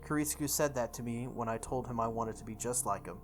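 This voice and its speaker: A woman's voice: a bass voice, fast talker. A woman reading visual novel text aloud as a playthrough host, sometimes stumbling and re-reading lines. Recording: music behind the voice.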